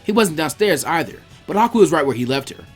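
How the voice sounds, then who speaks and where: A man reading fan fiction aloud as an expressive story narrator. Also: a little high, fast talker, soft background music.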